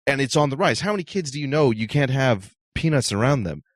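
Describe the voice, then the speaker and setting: A man's voice: medium pitch, animated, fast, dry recording, studio quality. A man co-hosting a talk show, arguing his opinions assertively in a casual debate style. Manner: assertive, argumentative.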